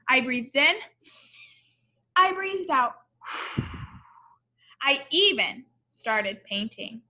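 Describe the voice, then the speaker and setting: Woman, high voice, unhurried pace, expressive children's read-aloud, teacherly asides. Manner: expressive, explanatory, asks the listeners questions. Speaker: teacher reading a picture book aloud to children. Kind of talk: read-aloud storytelling with asides to young listeners.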